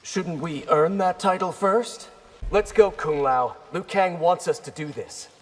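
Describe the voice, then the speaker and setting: A good-natured young ninja from a fighting game. His voice is higher and a little rough, ringing with hall reverb. He sounds competitive and casual. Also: measured pace. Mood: earnest. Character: earnest young male ninja, friendly and competitive. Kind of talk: video game fight banter.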